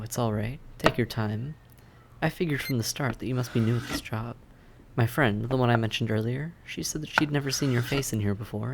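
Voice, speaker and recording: A man playing a suave, polite vampire in an audio roleplay, courteous and measured, with a softly teasing menace. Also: mid-range voice, creaky, heavy background noise, lightly acted. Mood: patient, courteous. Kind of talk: scripted audio roleplay acting, addressed to the listener.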